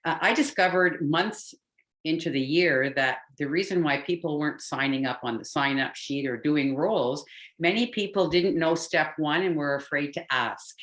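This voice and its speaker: A woman's voice: sits low, moderate pace, a bit bright. A woman from Canada speaking on a video call, chatty and self-deprecating as she answers a question in a club meeting. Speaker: club member.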